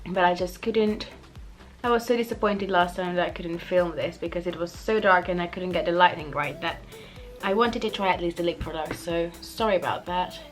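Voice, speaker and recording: A young woman with a slightly croaky voice after the flu, chatting casually through a makeup tutorial and often correcting herself. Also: on the low side, moderate pace, faint music behind. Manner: chatty, self-correcting, giggly asides. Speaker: beauty vlogger.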